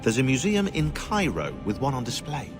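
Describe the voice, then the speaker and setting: A man playing a brisk, clever researcher in a video game, precise and expressive. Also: mid-range voice, over music. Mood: keen, concerned.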